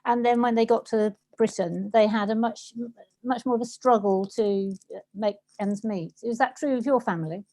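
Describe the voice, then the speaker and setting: A woman's voice: fairly high pitch, moderate pace, dark tone. A woman interviewing someone in a conversational way, tentatively phrasing and rephrasing what she says.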